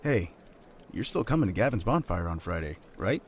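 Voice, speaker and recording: A man acts out a laid-back young buddy in an audio drama. His voice sits fairly low but swings up and down in a very animated way. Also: normal speed, slight background noise. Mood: easygoing, chummy. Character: laid-back, chummy young male friend and coworker. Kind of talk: audio fiction acting.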